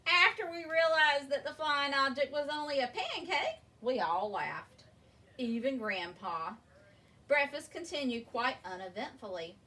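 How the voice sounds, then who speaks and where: A woman reading a children's picture book aloud in an expressive, deliberate way, drawing out words for emphasis. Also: sits high. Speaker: storybook reader. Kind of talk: read-aloud children's story narration. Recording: distant mic with faint background noise.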